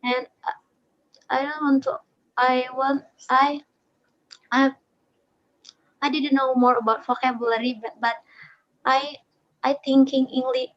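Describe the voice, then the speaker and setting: A woman student on a video call, speaking halting beginner English and often repeating words. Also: sits high, level tone, fairly bright tone, highs cut off. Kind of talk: class feedback.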